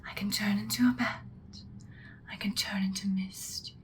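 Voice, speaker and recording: A woman playing an ancient, powerful female vampire in an ASMR roleplay, whispering breathily in an intimate, calmly menacing, seductive way. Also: mid-range voice, a little hiss or hum, natural voice. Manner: slow, deliberate, intimate. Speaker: ASMR performer.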